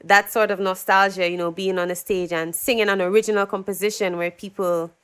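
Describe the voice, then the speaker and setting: Smooth-voiced woman telling stories. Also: mid-range voice, a little fast. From Dominica. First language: English. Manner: animated, acts out quoted voices. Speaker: singer-songwriter guest. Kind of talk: casual interview storytelling.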